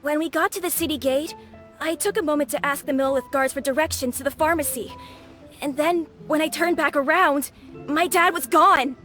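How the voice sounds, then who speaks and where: A woman's voice: sits high, fairly even intonation, moderate pace, fairly bright tone. A woman playing a worried, earnest young boy in a video game. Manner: earnest, childlike. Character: worried young boy seeking his forgetful father. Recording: music behind the voice.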